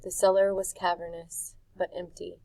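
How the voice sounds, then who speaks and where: A woman reading a storybook chapter aloud in a calm, measured way. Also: fairly low pitch, creaky, dry recording, rough recording. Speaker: audiobook reader. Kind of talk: storybook narration.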